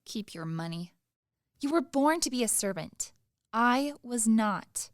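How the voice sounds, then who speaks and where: Radio drama servant girl, played by a woman: defiant and scornful, fairly high, animated delivery with a sharp tongue. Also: a little slow, dry recording, studio quality. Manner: sharp, impudent, cutting. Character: proud, defiant young Bulgarian maidservant, sharp-tongued, scornful. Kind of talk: radio play stage acting.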